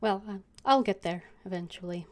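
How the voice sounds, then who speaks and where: A young woman streamer chatting casually over her gameplay, with a mid-pitched, ordinary voice. She trails off and hesitates with fillers as she thinks aloud. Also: normal speed.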